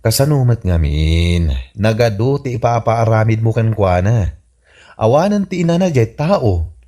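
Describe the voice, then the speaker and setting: Dismissive comic husband, male actor, mellow dark tone, big swings in pitch, argumentative. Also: sing-song, measured pace. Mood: defensive. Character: defensive husband arguing with his suspicious wife. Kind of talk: comedy radio drama acting.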